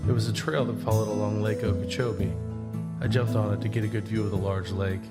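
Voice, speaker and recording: A man acting as a laid-back young man narrating an audio drama about himself. He speaks plainly and conversationally, like scripted first-person storytelling. His voice sits a little low, under prominent guitar music. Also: flat delivery, fast talker, natural voice. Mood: easygoing, reflective. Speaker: narrator and lead.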